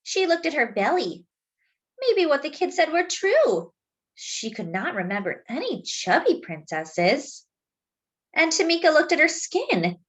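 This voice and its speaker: High, lively woman reading a picture book. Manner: animated, deliberate phrasing with questions to listeners. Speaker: children's story reader. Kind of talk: expressive picture-book read-aloud with asides to young listeners.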